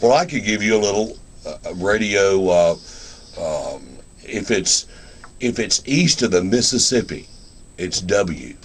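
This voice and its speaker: A man's voice: on the low side, expressive, unhurried, slight background noise. A man hosting a casual live radio interview in an informal, rambling, conversational way. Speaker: radio show host.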